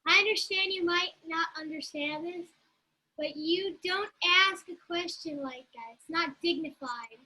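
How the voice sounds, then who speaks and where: A woman's voice: very high voice, unhurried, sharp tone, heavily acted, low-quality recording. A woman reading an earnest young female student in a sitcom table read. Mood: indignant.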